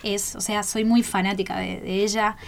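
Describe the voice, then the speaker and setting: A woman's voice: mid-range voice, level tone, normal speed, vocal fry. A woman with a Buenos Aires accent hosting a radio interview, casual and admiring of her guest. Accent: Buenos Aires Spanish. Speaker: radio host.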